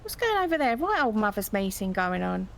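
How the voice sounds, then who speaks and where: Chatty woman, bit of a gravelly edge, gossipy roleplay banter. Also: medium pitch, big pitch swings, fairly quick. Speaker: roleplay character. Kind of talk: casual in-character chat.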